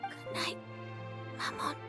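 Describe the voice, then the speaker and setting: A woman playing a sleepy, loving young child with a soft, breathy, tender delivery in a video game cutscene. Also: lightly acted. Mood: tender, fading. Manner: slow, drowsy, tender. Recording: music behind the voice.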